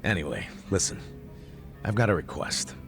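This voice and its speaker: An actor with an American accent playing a gruff, boisterous, good-humored older ex-cop in a video game, with brash, colloquial delivery. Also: male voice, low voice, animated, moderate pace, music in the background. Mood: gruff banter. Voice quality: gravelly. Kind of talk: dubbed video game cutscene acting.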